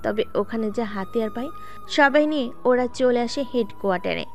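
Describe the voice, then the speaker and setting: Higher-voiced woman narrating over music. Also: brisk, gravelly, darkish tone, dull audio. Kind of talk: scripted story narration. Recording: music bed under the voice.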